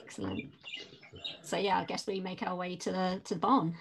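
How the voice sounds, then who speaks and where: A woman's voice: fairly low pitch, fairly even intonation, moderate pace, gravelly, background noise. A woman playing a tabletop game, talking in a casual, tentative way.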